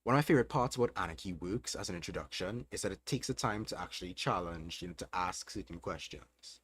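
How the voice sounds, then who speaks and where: A man's voice: low voice, quick pace, a little hiss or hum. A young man with a Trinidadian accent, hosting a casual livestream and answering chat questions while thinking aloud. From Trinidad and Tobago. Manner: relaxed, thinking aloud with fillers. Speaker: livestream host.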